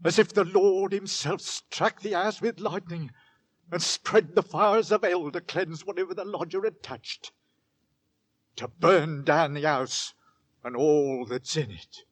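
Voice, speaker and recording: A man's voice: on the high side, unhurried, no room sound. An actor playing a plain, devout working-class London husband and father in a 1940s radio drama. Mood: awed.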